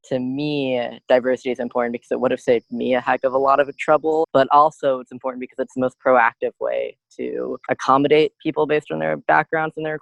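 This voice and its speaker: A man's voice: mid-range voice, vocal fry, no room sound, low-quality recording. A young adult man, a student guest in a podcast interview, speaking in an earnest, reflective way with frequent "you know" and "like".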